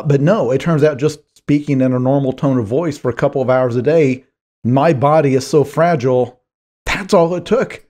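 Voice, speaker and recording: A hoarse man in his forties, a guest author, chatting in a rambling, self-deprecating way on a video call from a small home office. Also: mid-range voice, a little mellow. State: hoarse, strained voice. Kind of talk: video call podcast chat. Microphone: headphones, desk mic on video call.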